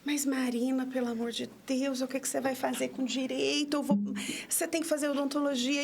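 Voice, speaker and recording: A woman in her fifties from the interior of São Paulo, a prosecutor guesting on a podcast, telling her story in a hesitant way with many restarts. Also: fairly high pitch. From interior of São Paulo state, Brazil. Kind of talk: conversational interview.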